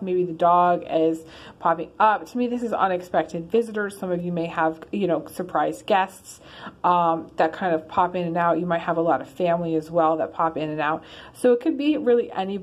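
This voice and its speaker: Chatty woman reading tarot, lots of ums. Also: on the low side. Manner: rambling, many ums and restarts. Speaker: tarot reader. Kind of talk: casual one-card tarot reading. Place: in her car.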